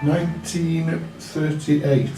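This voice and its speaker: A man's voice: fairly high pitch, little pitch movement, slow, dark tone, soft background music. A man competing in a pub quiz, giving short answers and talking casually. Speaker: quiz contestant. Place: indoor pub. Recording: echoey room, distant from the mic.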